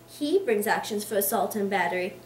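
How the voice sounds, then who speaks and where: A woman voicing a hearty, explosive, blustering older English gentleman in an emphatic, theatrical reading of a Victorian novel. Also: medium pitch, animated, fairly quick, close to an everyday voice. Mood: combative, exasperated. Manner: emphatic, theatrical declaiming in long tirades. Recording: echoey room, distant mic, faint noise.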